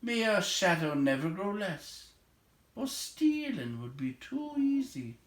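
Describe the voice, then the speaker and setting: Man as a stately, high-voiced elven king, echoey. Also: very animated, takes it slowly, full character voice. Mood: grave, amused. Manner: grave, ceremonious, swooping. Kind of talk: storybook character voice.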